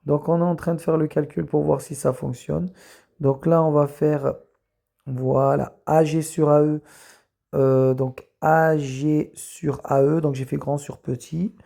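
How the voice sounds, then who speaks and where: Gravelly voice, mellow and dark tone, teacher explaining a math correction, many fillers, slows while writing. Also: medium pitch, level tone. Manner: slows down to write while talking. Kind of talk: recorded lesson correction. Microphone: camera filming a written page.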